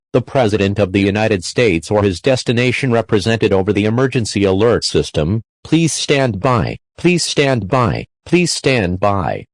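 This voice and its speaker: A man's voice: on the low side, no room sound, lightly acted. A man playing a grave emergency alert announcer, reading scripted official warnings in a formal, stiff way.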